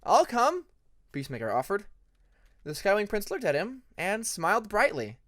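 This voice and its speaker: Expressive storyteller with lively pitch swings. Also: moderate pace. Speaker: audiobook narrator. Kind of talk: expressive fan fiction narration.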